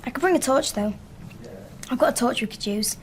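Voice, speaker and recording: High-voiced, earnest schoolgirl. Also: expressive, fairly quick, background noise. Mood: dreamy, chatty. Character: young schoolgirl, earnest, hopeful and gentle. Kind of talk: naturalistic radio drama acting.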